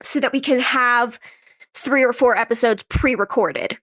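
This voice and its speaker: Female interview guest, slightly high pitch, informal conversational delivery with frequent "like". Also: a little slow, a bit bright. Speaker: guest, actress and writer. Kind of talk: casual interview chat.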